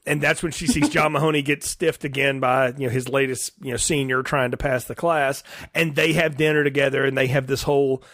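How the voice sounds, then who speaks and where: A man's voice: mid-range voice, fairly even intonation, fairly quick, hardly any pauses, fairly bright tone, dry recording, clean studio sound. A middle-aged American man co-hosting a casual film review podcast in a conversational way. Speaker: podcast co-host.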